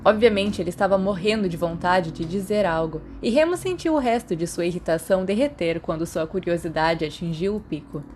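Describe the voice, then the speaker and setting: A woman's voice: fairly low pitch, fairly quick, hardly any pauses, darkish tone, slight background noise. A woman with a Brazilian accent reading scripted audiobook narration of a story. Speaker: podfic narrator.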